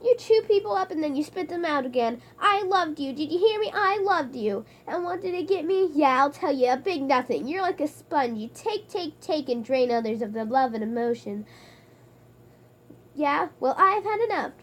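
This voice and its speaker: Gal doing a shrill jealous girl, high and all over the place, yelling at her ex. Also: lively intonation, normal speed. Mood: accusing. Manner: shrill, accusing, theatrical. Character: jealous, hurt young woman lashing out.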